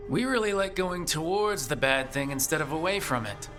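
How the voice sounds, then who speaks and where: An actor playing a kind, wry young adventuring leader in a video game. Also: male voice, on the high side, animated, normal speed, a bit bright. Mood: concerned, wry. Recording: music behind the voice.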